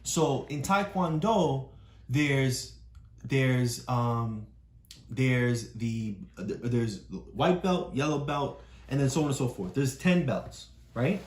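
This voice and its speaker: A woman's voice: a bass voice, slow pace, darkish tone, echoey room. A woman coaching a child through a story read-aloud, explaining each part patiently and often checking that the child understands. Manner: encouraging, keeps checking understanding.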